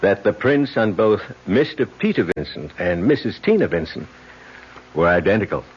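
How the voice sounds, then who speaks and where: An actor playing a shrewd, smug insurance investigator in a radio drama, measured and wry. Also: male voice, on the low side, very animated, breathy edge, full character voice. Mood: smugly explaining. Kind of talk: 1970s radio drama acting. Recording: muffled old radio recording.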